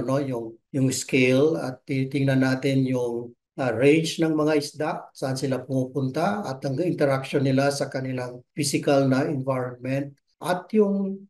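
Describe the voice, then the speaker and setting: A man giving explanatory interview answers as an expert guest, hesitant and halting, mixing in English terms. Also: medium pitch, darkish tone. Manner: hesitant, halting delivery with Tagalog and English mixed. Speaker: expert guest, marine science professor.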